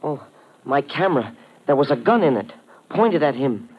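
A man's voice: medium pitch, sing-song, moderate pace, breathy edge. A man playing a cowardly, flustered kidnapper in an old radio crime drama, pleading and defending himself. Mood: cornered, defensive. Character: cowardly, panicky male reporter turned kidnapper. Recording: muffled vintage radio recording.

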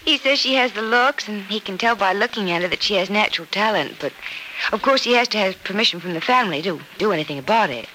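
A woman's voice: mid-range voice, lively intonation, fast, sharp tone. An actress playing a level-headed, caring young woman with a polite, earnest manner in a radio soap opera in the style of the 1940s. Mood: earnest, explaining. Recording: old noisy radio recording.

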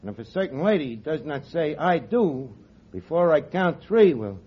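A fast-talking scheming radio barman. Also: fairly high pitch, very animated. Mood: desperate. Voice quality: rough streetwise grammar, garbled big words. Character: scheming wisecracking tavern manager, man. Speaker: tavern manager. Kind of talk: 1940s radio comedy acting. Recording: old lo-fi radio transcription, audience laughter.

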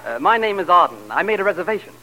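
High-pitched, flustered, excitable husband. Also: male voice, racing, crowd noise, background noise. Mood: impatient. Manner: blustering, exclamatory, easily flustered. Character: flustered, romantic, excitable newlywed husband caught between wives. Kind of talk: 1940s radio comedy acting.

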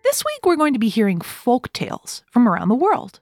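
A woman hosting a children's show, telling stories and giving simple instructions in an expressive way for young listeners. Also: fairly high pitch, a little fast, no echo, clean studio sound. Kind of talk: scripted storytelling and instructions for children. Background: music.

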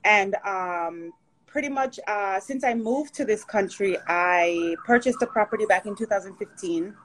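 A woman from Jamaica, chatting as a podcast guest in an upbeat way and often pausing to find her words. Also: mid-range voice, slight background noise, low-quality recording. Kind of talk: casual interview chat.

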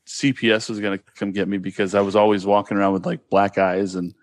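A man's voice: lowish voice, little pitch movement, fast talker, no echo. A man in his forties with a Californian accent, chatting casually and slangily as he tells stories. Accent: Californian American. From California, United States. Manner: slangy, says dude and bro. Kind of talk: casual chat, telling childhood stories.

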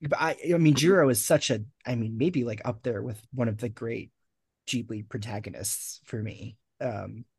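A man's voice: medium pitch, moderate pace, creaky, darkish tone, slightly rough, dry recording. Male host, dry sound, thinks aloud with ums. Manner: hesitant, trailing off with ums. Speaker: podcast host. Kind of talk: casual podcast film discussion.

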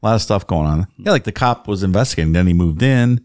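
A man's voice: low voice, lively intonation, quick pace, darkish tone, dry recording. A man co-hosting a podcast, chiming in casually with dry, sarcastic remarks. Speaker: podcast co-host. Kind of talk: casual chat.

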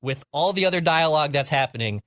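A man's voice: fairly high pitch, expressive, quick pace, fairly bright tone, dry recording. A young man co-hosting a podcast in a casual chat, talking emphatically and exaggerating for effect. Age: young adult. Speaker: podcast co-host.

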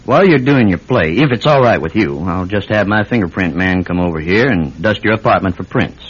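An actor playing a folksy, courteous small-town police chief in a vintage radio drama, plain-spoken and obliging. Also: male voice, low-pitched, animated, brisk, husky. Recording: dull lo-fi classic radio recording.

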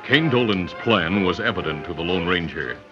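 A man with a low voice narrates a classic radio western. His delivery is that of a dramatic, scripted announcer. The old recording sounds dull, with music clearly playing behind him. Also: expressive, normal speed. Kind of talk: 1940s-50s radio adventure narration, scripted.

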